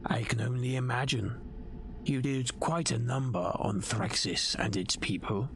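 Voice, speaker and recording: A man playing a scheming, condescending nobleman in an audio drama, gravelly and whispery, with patronizing, theatrical delivery. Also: medium pitch, unhurried, a little hiss or hum, natural voice. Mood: smugly amused. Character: scheming, condescending nobleman, silky villain plotting a coup.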